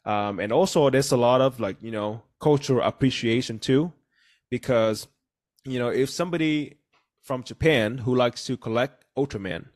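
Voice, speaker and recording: A man's voice: mid-range voice, moderate pace, a little mellow. Man, thirties, native Vietnamese speaker, podcast host, earnest explaining, video call earphone mic. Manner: earnest, explanatory, with frequent "you know" and "right". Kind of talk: casual podcast discussion.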